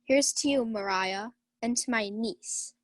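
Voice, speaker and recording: A woman's voice: on the high side, measured pace, no room sound. A woman playing a blustering, hard-drinking uncle knight. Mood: blustering, merry. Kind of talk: student Shakespeare radio acting.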